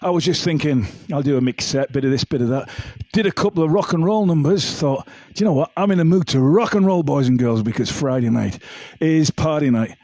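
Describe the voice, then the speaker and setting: A man with a slightly croaky voice, hosting a casual livestream of rock and roll songs and chatty banter. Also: fairly high pitch, fairly quick, hardly any pauses, dark tone. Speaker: amateur singer hosting a livestream singalong. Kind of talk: casual banter with online viewers; live rock and roll singing with guitar. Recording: home livestream with music behind. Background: guitar and backing music.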